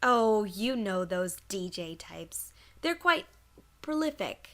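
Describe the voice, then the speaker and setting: A woman's voice: mid-range voice, very animated, slow. A woman playing a teasing, gossipy female pony in an audio drama, sly and insinuating.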